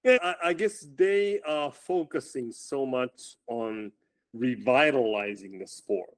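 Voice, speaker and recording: A male running coach speaking in a casual interview, with a loud voice, often repeating words as he starts his sentences. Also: medium pitch, slow.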